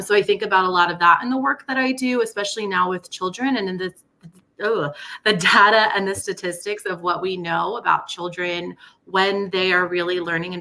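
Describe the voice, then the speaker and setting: A woman's voice: fairly low pitch, sharp tone. A woman from Staten Island, a nonprofit educator, telling her story earnestly on a panel. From Staten Island, New York. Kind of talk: casual panel talk.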